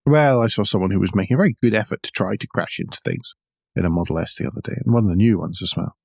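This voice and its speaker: Man, lowish pitch, podcast co-host, car news chat, wry asides, very dry studio-like sound. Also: animated, racing, gravelly, a little mellow, breathy edge, dry recording. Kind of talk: conversational car news discussion, partly read out.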